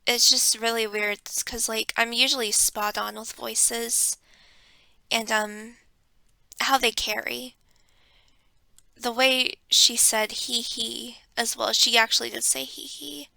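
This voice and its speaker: Young woman vlogger, hushed storytelling, sharp bright tone with gravelly edges, dry close mic. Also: a little high, steady tone, slow pace, dry recording. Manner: hushed and reflective, with many ums. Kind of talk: personal storytelling vlog. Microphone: close desk microphone.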